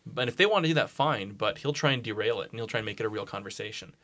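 A man's voice: fairly low pitch, animated, racing, pushed and bright. A man chatting casually as a podcast guest, joking in a self-deprecating way and swearing freely. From Scotland. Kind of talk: casual chat.

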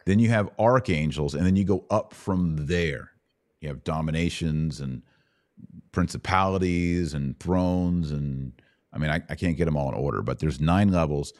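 A man's voice: rumbling low, moderate pace, darkish tone, dry recording, studio quality. A man hosting an informal Bible question-and-answer podcast, explaining things in colloquial language with emphatic repetition. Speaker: podcast host.

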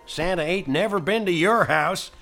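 An actor playing a hearty, gruff but tender frontier prospector in an American Western radio drama, with a folksy way of talking. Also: male voice, sits high, moderate pace, a bit bright, faint music behind. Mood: wry, friendly.

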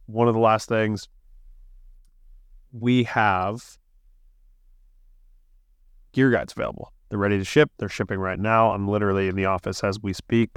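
A man's voice: on the low side, clean tone, no room sound, clean studio sound. A man hosting a podcast, chatty and enthusiastic with plenty of fillers, talking while a little sick and sniffling. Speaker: podcast host. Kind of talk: casual podcast intro and interview. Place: office.

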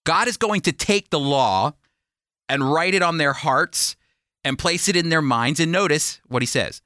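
A male radio preacher with a bright, forceful voice pitched a little high. He explains scripture like a teacher, leaning on key words with emphasis. The sound is close and dry, as from a studio microphone. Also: animated, a little slow, dry recording. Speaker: radio Bible teacher and program host. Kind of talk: Bible teaching monologue for a radio program.

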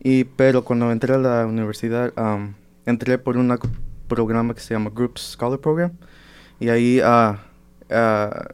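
A man's voice: medium pitch, fairly even intonation, moderate pace, clean tone. A young man student in a casual group discussion, speaking loosely and switching into English mid-sentence. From United States. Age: young adult. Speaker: university student.

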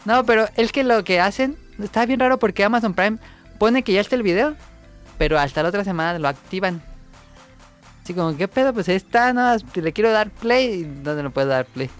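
Relaxed Mexican man co-hosting a podcast. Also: high voice, fairly quick, soft background music. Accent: central Mexican. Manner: relaxed, recommending and joking. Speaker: podcast co-host. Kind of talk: casual chat.

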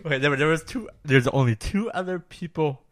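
A man co-hosts a casual podcast in a dry, close recording. His pitch moves around a lot, and he talks in an agreeable, halting way, repeating words as he goes. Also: animated, no echo. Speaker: podcast co-host.

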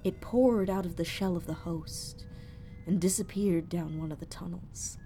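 Slow, haunted woman space explorer. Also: lowish voice, dark tone, soft background music. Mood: haunted, uneasy. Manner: halting, breathy dramatic monologue, slipping into whispers. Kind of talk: sci-fi horror audio drama monologue.

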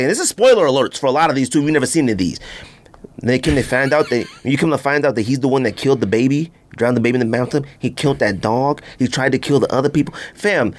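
An American man hosting a podcast, chatting casually through a countdown in an animated, exclamatory, slangy way. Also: mid-range voice. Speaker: podcast host.

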